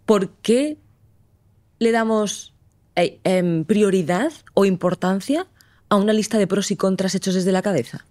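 A woman guest argues her point emphatically and passionately. Her voice sits at a middling pitch. The recording is dry and close, with no room sound around her. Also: unhurried, mellow, no echo. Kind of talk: conversational interview.

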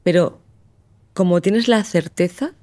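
A woman's voice: on the low side, unhurried, darkish tone, no echo. Emphatic woman, dry close sound. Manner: emphatic, passionate, debating. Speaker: guest. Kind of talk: conversational interview.

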